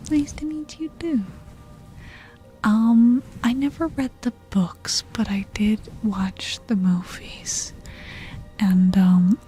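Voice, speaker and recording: Slow, whispery woman doing relaxed ASMR chat. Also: a little high. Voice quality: soft, breathy, often whispered. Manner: relaxed, slow, intimate murmur. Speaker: ASMR streamer. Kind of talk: ASMR, casual chat with live viewers. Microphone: close ASMR microphone. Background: music.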